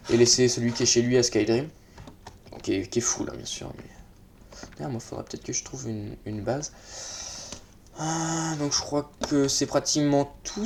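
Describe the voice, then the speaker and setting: Male let's play host, slightly congested, casual and hesitant, mid pitch, faint noise with keyboard clicks. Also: creaky, a little mellow. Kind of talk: casual gameplay commentary. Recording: noisy mic with keyboard clicks.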